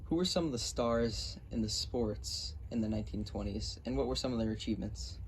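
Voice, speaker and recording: Male presenter, lower-pitched, slightly halting read of a factual script, faint noise on an amateur recording. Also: rough recording. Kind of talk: scripted narration in question-and-answer form, read aloud.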